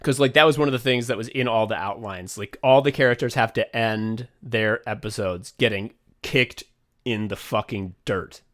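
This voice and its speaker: A young man chatting casually as a podcast co-host, rambling excitedly and swearing often as he walks through his own thinking. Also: lowish voice, moderate pace. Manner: rambling, profane, excitable, acts out his own thought process. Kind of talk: casual behind-the-scenes chat.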